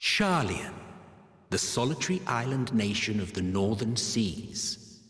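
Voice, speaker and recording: An actor playing a world-weary, sardonic ancient villain, narrating a game cutscene in a deliberate, theatrical manner. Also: male voice, on the low side, big pitch swings, a bit bright. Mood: disdainful. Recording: echoey hall reverb with music behind. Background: music.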